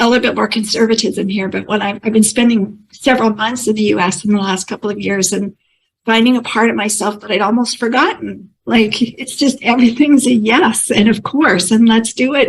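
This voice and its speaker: Gravelly-voiced woman hosting an interview. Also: on the high side, steady tone, brisk, slight background noise, rough recording. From United States. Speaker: podcast host. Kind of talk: interview conversation.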